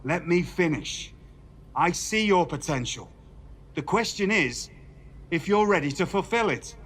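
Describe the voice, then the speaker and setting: A stern, paternal older male boss in a wrestling video game. His voice sits a little high for a man, and he speaks formally and measuredly, admonishing like a mentor. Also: lively intonation, unhurried, a bit bright, husky, full character voice. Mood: stern, challenging. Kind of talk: dramatic video game cutscene dialogue.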